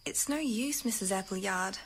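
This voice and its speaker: A woman's voice: medium pitch, measured pace, slightly rough. An actress playing an unhappy, stubborn schoolgirl in a radio drama, speaking in a plaintive, sulky way. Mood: sulky, pleading. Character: scraggy, unhappy, stubborn schoolgirl orphan child.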